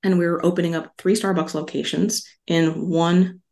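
A middle-aged woman from Hawaii, a podcast guest speaking in a calm, soft, unhurried way. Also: lowish voice. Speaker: podcast guest, stress relief author. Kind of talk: calm interview talk.

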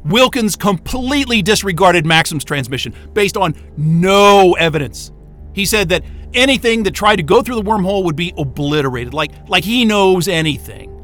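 A man's voice: sits high, fairly bright tone. An actor playing a frantic, exhausted and angry station crewman in a science-fiction audio drama, agitated, sweary and stammering.

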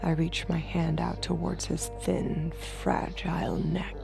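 Music plays under a slightly low, hushed female voice. She is a haunted android girlfriend confessing her story in an intimate audio drama, often sinking toward a whisper. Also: little pitch movement, unhurried, creaky. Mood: hushed, tense. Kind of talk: intimate first-person audio drama narration and dialogue. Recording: music behind the voice.

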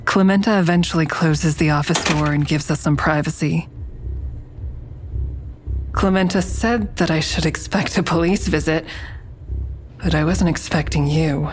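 A woman's voice: low-pitched, slight background noise. An actress playing a guarded, uneasy female counselor who narrates her own story in an intimate, restrained audio drama style.

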